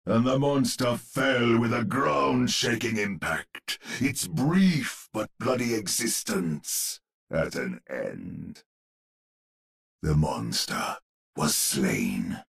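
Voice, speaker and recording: An actor playing a grave old storyteller, narrating a horror tale in a gravelly, husky voice with ominous, theatrical deliberation. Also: male voice, lowish voice, lively intonation, drawn-out words, a bit bright, full character voice.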